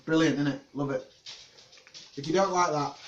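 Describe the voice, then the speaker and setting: A man with a British accent reading a children's story in an expressive, playful, theatrical way and chatting casually. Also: on the high side, normal speed, lo-fi sound, slight background noise. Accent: British English. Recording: echoey room, distant microphone.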